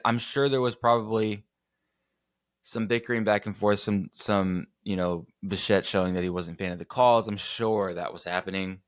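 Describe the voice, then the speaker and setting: Man, podcast host, lowish voice a bit hoarse, chatty and self-correcting, dry home recording. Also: no room sound. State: slightly hoarse. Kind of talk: casual sports podcast talk.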